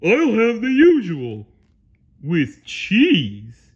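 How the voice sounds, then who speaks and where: Loud dopey starfish, way high pitch, happy n clueless cartoon voice. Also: male voice, wildly swooping pitch, extremely slow, husky, dull audio, exaggerated voice. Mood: cheerful, oblivious. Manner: loud, carefree, oblivious. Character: cheerful, clueless, slow-witted male cartoon starfish.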